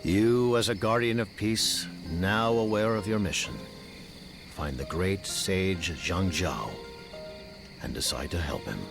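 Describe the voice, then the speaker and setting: A grave, solemn figure speaks very slowly from the bottom of his range, his tone gritty with fry. Every word lands with weight, as in a story game cutscene. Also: low-pitched, music in the background, heavily acted. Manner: slow, measured and weighty.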